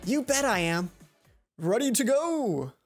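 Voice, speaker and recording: A woman's voice: on the high side, big pitch swings, slow, voice pushed up, full character voice. A woman voicing an eager, easily flustered young gamer girl in a visual novel, over music. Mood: confident.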